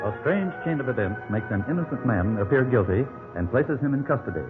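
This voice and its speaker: A man's voice: mid-range voice, expressive, brisk, narrow, tinny audio. An American man announcing a 1950s radio drama with solemn, portentous narration. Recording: band-limited 1950s radio recording. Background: loud dramatic music.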